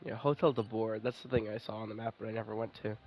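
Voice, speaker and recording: A man's voice: on the low side, steady tone, quick pace, darkish tone, slight background noise. A man giving informal gameplay commentary, thinking aloud and swearing casually as he goes. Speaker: game streamer.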